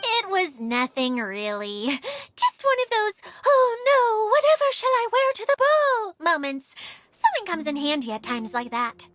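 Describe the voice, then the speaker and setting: A woman's voice: very high voice, sing-song, a little slow, hardly any pauses, a bit bright, dry recording, exaggerated voice. A woman voicing a modest, easily flustered young woman in a video game, with a self-deprecating manner. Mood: bashful. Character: bashful, modest young woman who sews.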